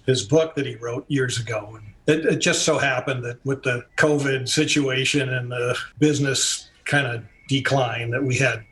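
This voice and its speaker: A man's voice: mid-range voice, fairly bright tone, a little hiss or hum, low-quality recording. A man, an illustrator and designer, speaking conversationally in an interview. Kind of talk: interview talk.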